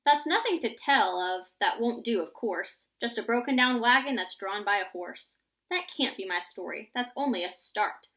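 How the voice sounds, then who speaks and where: Woman reading as bright, imaginative young storybook boy. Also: on the high side, lively intonation, normal speed. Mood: musing, excited. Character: imaginative young boy, excitable, inventing tall tales.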